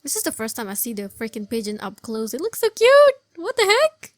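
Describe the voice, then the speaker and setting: Chatty, high-voiced woman gaming commentator. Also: fairly quick, dry recording, clean studio sound, faint music behind. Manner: expressive, chatty, rambling reactions. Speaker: gameplay streamer, commentator. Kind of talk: casual reaction commentary over a game.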